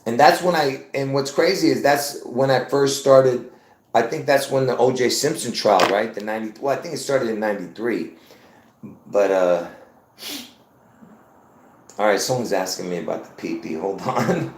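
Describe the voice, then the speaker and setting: A man's voice: mid-range voice, moderate pace, slight background noise. A man in his fifties hosting a live stream, talking in a rambling, insistent way and repeating himself as he addresses viewers as folks. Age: 50s. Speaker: livestream host. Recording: roomy echo.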